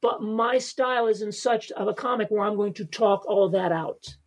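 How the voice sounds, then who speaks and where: A male stand-up comedian with a high voice, chatting casually as a podcast guest, rambling with many fillers and restarts. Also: moderate pace.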